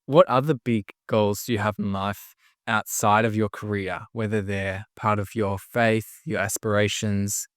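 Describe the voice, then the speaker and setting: A man hosts a podcast in a smooth, clear voice, recorded dry and close to the mic. He asks friendly, encouraging questions and keeps the conversation moving. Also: lowish voice, steady tone, a little slow, few pauses, no echo. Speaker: podcast host. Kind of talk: podcast interview.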